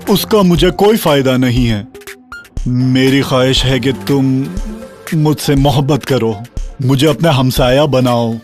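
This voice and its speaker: A man voicing a pleading, demanding talking frog in a children's fairy-tale cartoon, with an insistent, drawn-out delivery. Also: medium pitch, a little mellow, close to an everyday voice.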